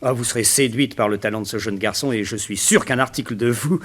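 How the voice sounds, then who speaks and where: A man plays a wealthy madman villain in a 1960s French radio drama. His manners are exquisitely polite and he lingers over his words with theatrical relish. His pitch sits in the middle of the male range. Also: fast, a bit bright, close to an everyday voice. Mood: courteous, charming. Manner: polite, savouring, theatrical delivery. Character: smooth, mad, courteous rich villain who collects statues.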